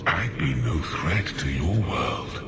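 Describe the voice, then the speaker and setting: An actor playing a languid, sly sloth demon in a video game, drawling smugly as it bargains. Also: male voice, very deep voice, animated, over loud music, heavily acted. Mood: smooth persuasion. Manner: slow, drawling, smugly unhurried. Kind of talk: video game cutscene acting. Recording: very noisy game capture with background ambience.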